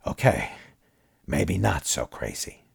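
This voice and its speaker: A man voicing a weary, wary actor who speaks low and subdued. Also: sing-song, takes it slowly, creaky, husky, dry recording, clean studio sound, exaggerated voice. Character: weary, wary middle-aged male actor.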